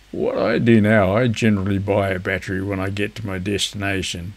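Older New man, lowish voice. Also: little pitch movement, normal speed, gravelly. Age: older, around 70s. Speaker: amateur radio operator, video presenter. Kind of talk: informal instructional talk to camera. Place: indoors, seated before a virtual beach backdrop.

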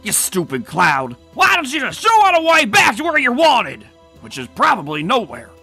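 A man's voice: very high-pitched, wildly swooping pitch, normal speed, creaky, bright, forceful tone, husky, soft background music, heavily acted. A man voicing a feisty, folksy country pony farm girl in a comic dub, blunt and wry. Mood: angry. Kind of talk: animated comic dub acting.

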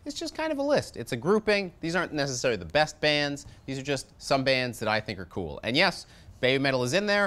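A man in his thirties hosting a metal review show, delivering a jokey scripted review from a studio set. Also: fairly bright tone. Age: 30s. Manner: sarcastic, jokey, wide swings in pitch. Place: studio set with shelves of records.

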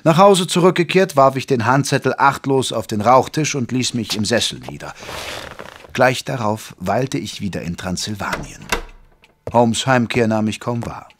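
An actor playing a gentleman physician who narrates a detective radio play in a measured, literary, wry manner. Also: mid-range voice, animated, slight background noise, close to an everyday voice. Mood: reflective, wry. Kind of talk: scripted audio drama narration and dialogue.

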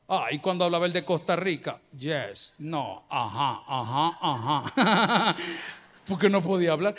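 A middle-aged man from El Salvador with a Salvadoran accent, preaching a sermon on a handheld mic in a large church, lively and joking. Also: sits high. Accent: Salvadoran Spanish. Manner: lively preaching, bursts of mimicry and humor. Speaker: pastor. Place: large church auditorium with a crowd. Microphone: handheld microphone.

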